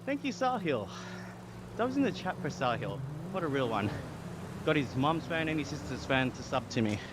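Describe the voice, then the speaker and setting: An upbeat young Australian man talks to his stream viewers while pedalling a workout bike, laughing easily and thanking people by name. His voice sits at an ordinary pitch. Car and street sounds from a game run clearly behind him. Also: sing-song, moderate pace, background noise. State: exercising on an indoor bike. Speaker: live streamer. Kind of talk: casual chat reading viewer comments.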